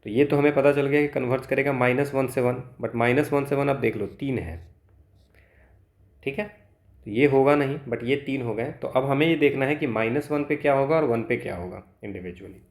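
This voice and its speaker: A man's voice: medium pitch, normal speed. An Indian man teaching as a maths exam tutor, explaining solved problems step by step and mixing Hindi with English terms. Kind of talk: instructional walkthrough of solved problems.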